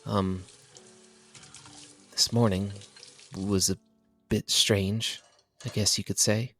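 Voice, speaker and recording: A man voicing a gentle, hesitant young woman who muses and trails off softly, as a storybook reader. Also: fairly low pitch, little pitch movement, takes it slowly, faint music behind. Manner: soft, hesitant, musing.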